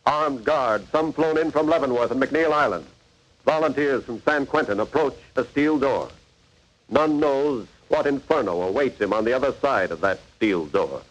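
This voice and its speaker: A man's voice: medium pitch, animated, normal speed, lightly acted, rough recording. Male vintage radio crime narrator, medium register, grave, formal and dramatic. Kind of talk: 1940s radio scripted narration.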